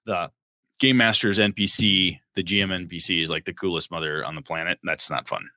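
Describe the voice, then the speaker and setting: Bright-voiced man reading listener mail. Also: low-pitched, steady tone, brisk, dry recording. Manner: reads letters aloud, jokey asides. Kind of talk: casual gaming podcast chat.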